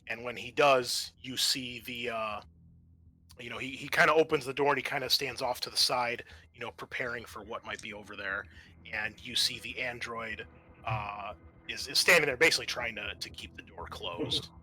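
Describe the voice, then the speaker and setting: A man's voice: medium pitch, normal speed, pushed and bright. A man acting as game master for a tabletop roleplaying game, explaining turns and narrating in a conversational, improvised way.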